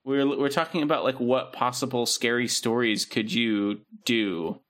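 A man's voice: mid-range voice, fairly even intonation, measured pace, fairly bright tone, a little hiss or hum. A young man co-hosting a podcast, chatting in a laid-back, rambling way with plenty of ums. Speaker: podcast co-host. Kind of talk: casual chat.

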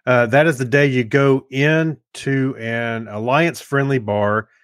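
A man's voice: mid-range voice, fairly even intonation, measured pace, clean tone, no echo, clean studio sound. A man chatting casually as a podcast co-host and reading out listener comments in an easygoing, good-humored way.

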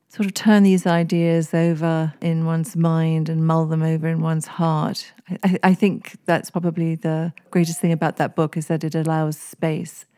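A woman from East Africa with an English accent talks as a guest on a podcast. Her voice sits low and warm. She chooses her words with care and phrases them formally. The recording is dry and close. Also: clean tone, no room sound. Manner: thoughtful, articulate, formal phrasing. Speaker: guest author. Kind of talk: podcast interview.

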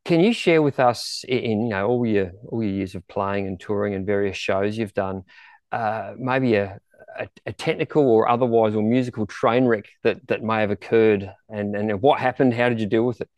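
Low-voiced male podcast interviewer, dry sound. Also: normal speed, a little mellow, no room sound. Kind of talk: conversational interviewing.

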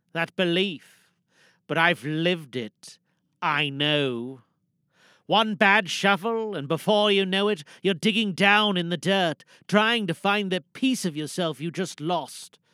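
World-weary pirate villain seeking redemption, played by a man, higher-pitched, clear, deliberate and pleading, for an audio drama monologue. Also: pushed and bright, no echo, clean studio sound, full character voice.

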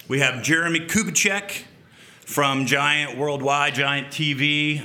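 Host, man: mid pitch, bright tone, relaxed and a little stumbling. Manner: relaxed, halting, many fillers. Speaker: podcast host. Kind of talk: casual interview hosting. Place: office.

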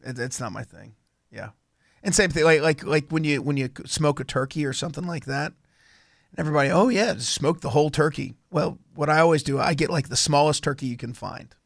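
A man's voice: on the high side, fairly quick, creaky, no echo, clean studio sound. A man with laryngitis hosting a casual motorsport show on college radio, rambling and often restarting his sentences. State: hoarse from laryngitis. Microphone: studio microphone.

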